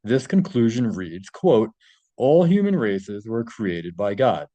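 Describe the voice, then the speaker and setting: A man's voice: fairly low pitch, very animated, takes it slowly, mellow, no room sound, highs cut off. A man reading a translated article aloud and adding his own informal commentary.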